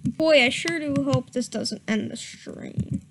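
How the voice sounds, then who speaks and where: A woman's voice: high voice, slow, background noise. A woman reading a story aloud in a comedic, mock-dramatic way, stumbling over words and dropping into casual asides. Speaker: streamer reading fan fiction aloud.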